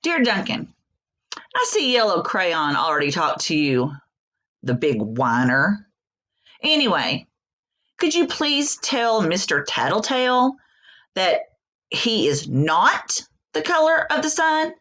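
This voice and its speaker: A woman's voice: mid-range voice, very animated, slow, long pauses, a bit bright, heavily acted. A woman voicing a smug, scornful crayon in a storybook, sneering and self-satisfied.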